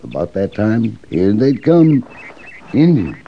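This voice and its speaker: A man's voice: on the low side, huge pitch swings, slow pace, exaggerated voice. An actor playing a wary, grudging frontier farmer in a radio Western, folksy and evasive as he grumbles. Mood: grumbling. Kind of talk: old-time Western radio drama acting. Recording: dull old radio recording, faint noise.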